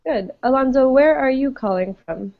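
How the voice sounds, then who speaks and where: Woman english teacher on a web call, kinda high voice, lots of ums, dry muffled sound. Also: lively intonation, moderate pace, no room sound. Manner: friendly, patient, many ums. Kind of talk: online group class. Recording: dull lo-fi web call audio.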